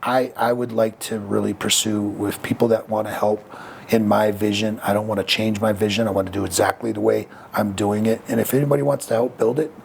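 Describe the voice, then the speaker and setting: A man's voice: lowish voice, fairly even intonation, a little fast, creaky, a little hiss or hum. A man talking as a podcast guest, frank and reflective, with frequent fillers and restarts. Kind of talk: candid conversational storytelling.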